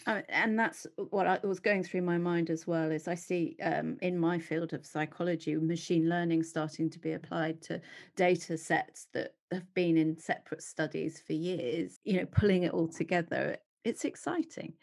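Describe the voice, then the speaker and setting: Woman interview host, low gravelly voice with fry, hesitant and curious. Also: steady tone, normal speed, a little mellow. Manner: hesitant, many restarts, curious. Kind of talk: conversational interview.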